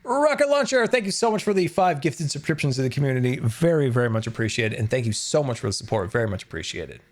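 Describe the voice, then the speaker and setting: Chatty game streamer guy, super animated pitch, talks to chat nonstop. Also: quick pace. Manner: chatty, excitable, thanks viewers. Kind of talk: casual live-stream chat.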